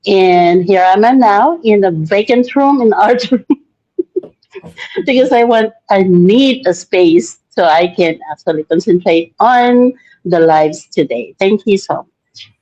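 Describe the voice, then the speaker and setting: A woman's voice: mid-range voice, takes it slowly, warm tone. A woman from the Philippines with a Filipino accent, casually introducing herself on a livestream. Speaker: livestream participant. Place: vacant room at workplace. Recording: dull lo-fi livestream audio.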